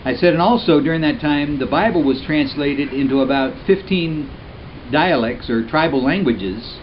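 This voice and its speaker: Man, from Vietnam, earnest personal testimony, frequent pauses, slightly muffled home video. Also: fairly high pitch, a little hiss or hum. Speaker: storyteller. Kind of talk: personal testimony told to camera.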